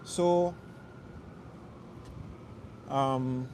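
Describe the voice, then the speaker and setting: A man's voice: mid-range voice, extremely slow, a little mellow. A young man from Liberia, casually chatting with viewers of his live stream while walking city streets at night, rambling and answering their questions. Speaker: livestream host. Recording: faint street and traffic noise. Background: faint traffic noise.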